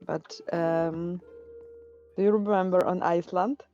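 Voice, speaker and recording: A woman from Poland with a Polish accent, talking slowly and haltingly with many restarts in casual chat on a game stream. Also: lowish voice, steady tone, warm tone, soft background music. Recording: dull lo-fi stream audio.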